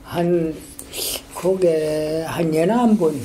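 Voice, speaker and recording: An older man with a strong Gyeongsang dialect, a retired school principal telling his story in a halting, rambling way in an oral history interview. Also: a little high, background noise. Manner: halting, rambling, many fillers and restarts.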